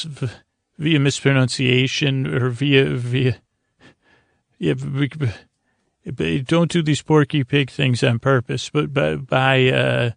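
A man with a creaky voice hosting a bedtime podcast in a soothing, meandering, hesitant monologue full of stammers and tangents. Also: mid-range voice, dry recording, studio quality. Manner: soothing, lulling delivery. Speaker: podcast host.

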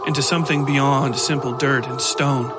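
A man reading scripted horror fiction as a narrator, deliberate and dramatic, in short measured phrases. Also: mid-range voice, fairly even intonation. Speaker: narrator and producer. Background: loud music.

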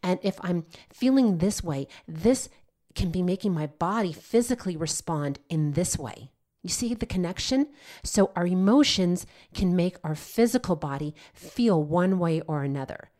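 A woman's voice: on the low side, expressive, moderate pace, warm tone. An older woman hosting a health podcast, slightly nasal from a head cold, chatty and encouraging, often checking in with "okay?". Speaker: podcast host, nurse practitioner. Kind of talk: solo health podcast talk.

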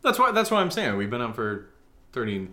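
A man co-hosting a live podcast Q&A in a casual, jokey, excitable way, often repeating his words. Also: medium pitch, a little fast. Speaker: podcast co-host. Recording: roomy echo.

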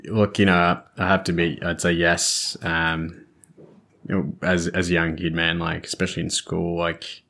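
A man's voice: deep voice, little pitch movement, unhurried, creaky, a bit bright. An Australian man hosting a podcast, chatting casually and rambling, often restarting and searching for words. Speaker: podcast host. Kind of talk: casual chat.

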